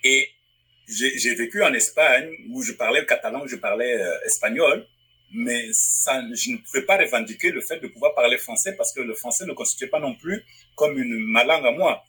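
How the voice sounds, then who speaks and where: African guy, writer and publisher being interviewed, bright but a bit raspy, keeps restarting his sentences. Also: mid-range voice, expressive, normal speed, low-quality recording. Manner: thoughtful, restarts phrases while searching for words. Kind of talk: interview guest. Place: Northwest Territories.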